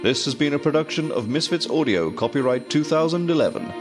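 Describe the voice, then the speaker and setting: An actor playing a haughty, world-weary British radio announcer in a comedic audio drama. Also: male voice, on the high side, lively intonation, a little fast, natural voice. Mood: formal announcing. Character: snooty, disdainful British male radio announcer. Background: music.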